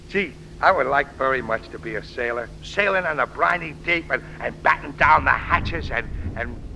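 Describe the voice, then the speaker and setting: An actor playing an excitable, simple, chatty cab driver who repeats himself, in 1940s radio style. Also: male voice, mid-range voice, a little slow, creaky, heavily acted. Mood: chatty, wistful. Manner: dim-witted, repetitive, muddled phrasing. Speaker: comic sidekick. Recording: noisy old-time radio recording.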